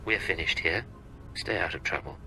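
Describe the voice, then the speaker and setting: An actor playing a cold, curt imperial intelligence agent in a video game, clipped, menacing and businesslike. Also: male voice, deep voice, moderate pace, creaky, pushed and bright, faint music behind, exaggerated voice, poor audio. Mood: cold, stern. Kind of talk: video game cutscene acting.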